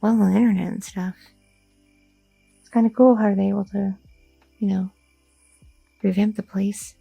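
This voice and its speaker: A woman's voice: medium pitch, fairly even intonation, moderate pace, vocal fry, mellow, slightly rough, no room sound, faint music behind, poor audio. A woman voicing a curious, chatty young girlfriend in an ASMR roleplay, rambling with many fillers and nervous questions. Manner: rambling, inquisitive, filler-heavy talk.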